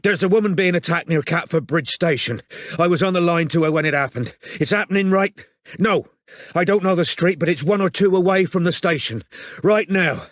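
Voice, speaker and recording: A man voicing a big detective who shouts urgently down a phone. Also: high-pitched, fairly quick, no echo, studio quality, voice pushed up. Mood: frantic shouting. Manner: bellowing, urgent. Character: desperate burly male detective, shouting.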